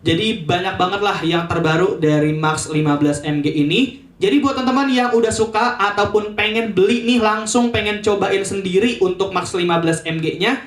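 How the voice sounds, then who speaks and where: A man's voice: high voice, moderate pace. A man presenting a casual promotional product review, chatting to viewers like friends.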